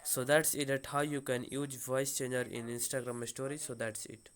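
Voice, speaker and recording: A man giving an unscripted step-by-step phone app tutorial as host, speaking in a halting, hesitant way with repeated phrasing. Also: medium pitch, little pitch movement.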